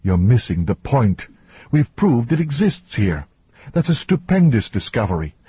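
A man's voice: medium pitch, very animated, unhurried, dark tone, breathy edge, no echo, muffled recording, heavily acted. A man voicing a cool, precise woman scientist who corrects others matter-of-factly in an audiobook. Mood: insistent. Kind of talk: audiobook character dialogue.

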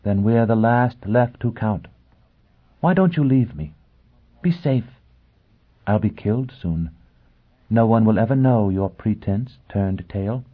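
Storybook noblewoman played by a male narrator: lifted pitch, husky breath, amused hauteur, icy and fierce, on a slightly dull recording. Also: slow pace, pauses often. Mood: taunting, amused. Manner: amused, haughty, theatrical.

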